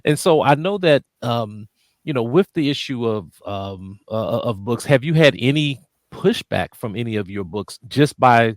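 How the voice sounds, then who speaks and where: A man's voice: medium pitch, expressive, moderate pace, no echo, clean studio sound. A man hosting a radio interview, asking questions hesitantly with many fillers and repeated words. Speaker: radio show host, interviewer.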